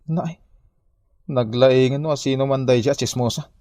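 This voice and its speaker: A man's voice: mid-range voice, steady tone, measured pace, darkish tone, no echo, studio quality, natural voice. An actor playing a flustered, aggrieved village man in Ilocano comedy drama, with an Ilocano accent. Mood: exasperated.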